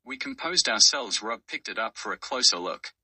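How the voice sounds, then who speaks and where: A man's voice: on the low side, expressive, moderate pace, pushed and bright, slightly rough, dry recording, recorded from a distance. A man reading a scripted first-person adventure story as its narrator. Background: music.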